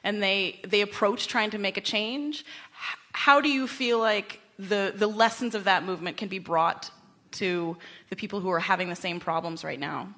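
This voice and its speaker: A woman's voice: mid-range voice, normal speed, sharp tone. An American woman hosting a live radio interview, with an audience behind her. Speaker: radio show host.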